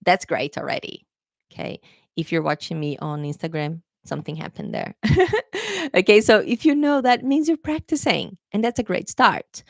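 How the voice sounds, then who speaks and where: A young woman with a Brazilian Portuguese accent teaches English in a chatty live stream, repeating her main points and calling viewers "guys." Her voice sits fairly low, with a gravelly edge, and her pitch rises and falls a lot. The sound is dry, with almost no room echo. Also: lively intonation, moderate pace. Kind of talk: live-streamed exam prep lesson.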